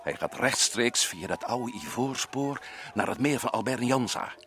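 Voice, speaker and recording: An actor playing a shrewd, commanding male expedition leader in a radio play, who often lowers his voice to a conspiratorial hush. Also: lively intonation, a little slow, noisy background. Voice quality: low voice, drops to hushed whispers. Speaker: adventurer in Africa.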